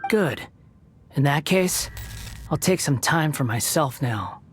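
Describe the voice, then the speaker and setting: A man's voice: a little high, animated, takes it slowly. A man voicing a bitter, proud young male wanderer with fallen godlike power in a video game, speaking in a sardonic, theatrical, haughty way. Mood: measured, guarded. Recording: music behind the voice.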